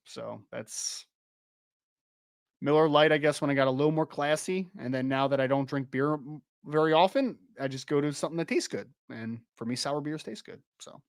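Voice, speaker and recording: A young man chats on his podcast in a loose, rambling way, laughing easily and circling back on his words. His voice sits a little high and sounds slightly worn, as if he is losing it. The sound is dry and close. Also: normal speed, no room sound. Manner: chatty, rambling, laughs easily, many restarts and "like". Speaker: podcast host. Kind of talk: casual live-stream podcast chat with chat readers.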